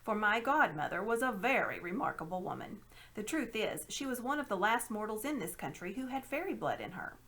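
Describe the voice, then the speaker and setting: A woman acts out a self-important old gentleman from a storybook. He talks down to his listener in grand, pompous phrases, with a very animated rise and fall in pitch. His temper is smug and scheming. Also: a little fast. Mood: grand, boastful. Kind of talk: storybook read-aloud character voice.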